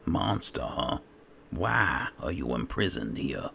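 A man's voice: rumbling low, very animated, takes it slowly, gravelly, bright, forceful tone, slight background noise. A man roleplaying a character in a game playthrough, narrating casually and without hurry. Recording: background music under the voice. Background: game music.

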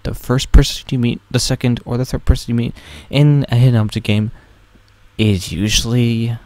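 A man's voice: mid-range voice, level tone, moderate pace, a little mellow, no echo. A young man giving casual, wry and sarcastic commentary as he plays a video game. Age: young adult. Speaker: let's play host.

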